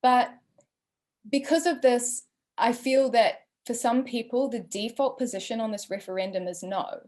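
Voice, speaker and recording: A woman's voice: a little high, normal speed, a little mellow. A young woman with a New Zealand accent hosting an online panel, speaking earnestly with frequent ums. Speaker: panel host. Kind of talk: webinar introduction and opinion.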